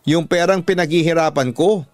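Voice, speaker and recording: A man's voice: a little high, a little fast, dry recording, faint music behind, pitched above the performer's own voice, lightly acted. A man playing a hardworking, aggrieved daughter, indignant and pleading, in a radio drama. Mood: pleading, exasperated. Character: hardworking, aggrieved grown daughter standing up to father. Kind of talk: Filipino radio drama acting.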